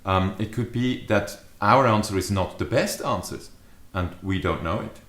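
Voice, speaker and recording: A man in his fifties giving a reflective, explanatory talk to camera as a leadership adviser, with measured, deliberate phrasing. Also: on the low side, a bit bright. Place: small furnished study or living room, indoors. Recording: echoey living room.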